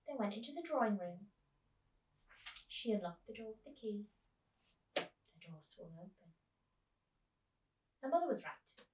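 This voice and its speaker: A woman's voice: medium pitch, very animated, measured pace, pauses often, background noise. Woman reading a storybook, distant and echoey. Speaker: teacher reading a storybook aloud. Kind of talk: expressive storybook narration for children. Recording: roomy echo, distant microphone.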